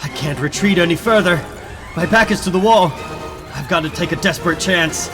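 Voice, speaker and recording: Guy doing a young comic superhero, earnest n urgent, talking himself thru it, loud music under. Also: a little high, a little fast, lightly acted. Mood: desperate. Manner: dramatic, thinking aloud, urgent. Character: earnest, determined young male superhero narrating his own fight. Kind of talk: comic book dramatic reading.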